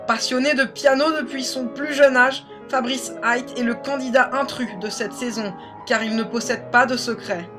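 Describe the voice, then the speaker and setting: A woman's voice: fairly high pitch, fairly even intonation, normal speed, few pauses. A woman reading scripted voice-over narration for a parody reality TV show. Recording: loud music under the voice.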